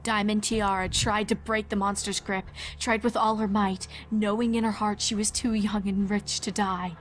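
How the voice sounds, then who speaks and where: A woman's voice: medium pitch, little pitch movement, normal speed. A woman giving a scripted narration of a fan fiction horror story.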